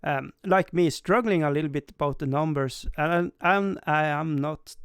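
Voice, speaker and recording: A man's voice: on the high side, normal speed, clear, resonant tone, dry recording, studio quality. A young man, an English speaker, hosting a casual livestream, rambling with many stammered restarts and self-deprecating laughs. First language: Swedish. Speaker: livestream host.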